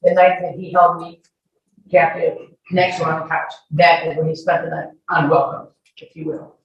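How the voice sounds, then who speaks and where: A woman's voice: low voice, level tone, normal speed, vocal fry, muffled recording. A woman giving testimony as a witness, answering bluntly and defiantly. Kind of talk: courtroom testimony. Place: indoor courtroom. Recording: echoey courtroom, distant mic, background noise.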